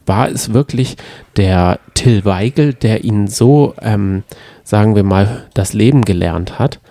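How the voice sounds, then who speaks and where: Dark-voiced, rambling male podcaster. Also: lowish voice, takes it slowly, no room sound. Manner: rambling, trails off mid-sentence. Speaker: podcast co-host. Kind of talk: casual podcast chat about reality TV.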